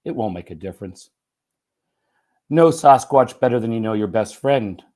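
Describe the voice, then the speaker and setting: A man with a slightly hoarse voice reads literary fiction aloud. He speaks expressively and conversationally, with folksy emphasis, at a middle pitch. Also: animated, moderate pace, mellow. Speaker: writer and teacher reading own short story. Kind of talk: literary reading of first-person fiction.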